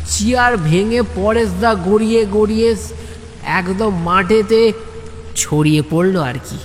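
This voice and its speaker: A man narrates a comic first-person story in an animated, colloquial way, with drawn-out emphasis and playful asides. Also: sits high. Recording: long hall reverb, background noise.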